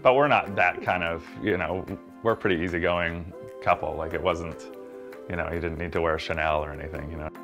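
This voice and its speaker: Deep-voiced, gravelly man chatting casually. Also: steady tone, fairly quick, over music. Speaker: interviewee in a documentary. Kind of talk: casual reflective interview.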